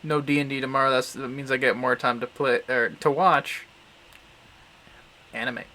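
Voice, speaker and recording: A man's voice: mid-range voice, steady tone, normal speed, sharp tone. A man giving casual, rambling commentary as he hosts a visual novel livestream, often muttering to himself. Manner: mutters and hums filler syllables to himself.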